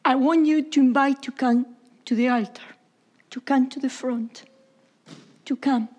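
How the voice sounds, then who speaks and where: A woman pastor from Argentina with an Argentine accent, whose first language is Italian, preaching a sermon in halting, repetitive English that sometimes drops to a whisper. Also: high voice, steady tone, darkish tone. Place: church service.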